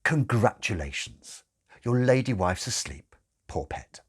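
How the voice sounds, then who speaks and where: A man voicing a helpful, scatterbrained woman, a nurse nun in a storybook, gushing and hurried in her reassurance. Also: fairly low pitch, sing-song, slightly rough, heavily acted. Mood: cheerful, reassuring.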